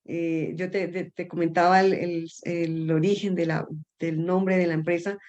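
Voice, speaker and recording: A middle-aged woman with a fairly low voice speaks as a guest in an interview. Her talk is casual and halting, with repeated words as she looks for the right one. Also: level tone, darkish tone, lo-fi sound. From Colombia. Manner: hesitant, searching for words, repeats words. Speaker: interview guest, energy company founder.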